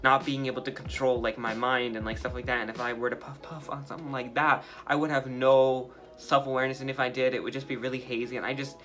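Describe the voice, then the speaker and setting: A man's voice: mid-range voice, flat delivery, fast, hardly any pauses, fairly bright tone, faint music behind. A young man with a light, feminine-sounding voice, casually sharing his opinions with many filler words as he makes slime. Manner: talkative and opinionated, heavy use of "like". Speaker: vlogger. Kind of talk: casual opinion chat while making slime.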